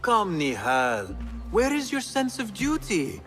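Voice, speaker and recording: A man's voice: sits high, wildly swooping pitch, slow pace, a bit bright, faint music behind, heavily acted. A man playing an idealistic young street thief of medieval Baghdad in a game, speaking with earnest, wry expressiveness. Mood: earnest, teasing.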